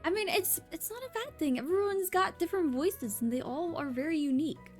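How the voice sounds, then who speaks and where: High-voiced, encouraging woman host. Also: expressive, a little fast, soft background music. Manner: encouraging, upbeat, teasing. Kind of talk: casual chat.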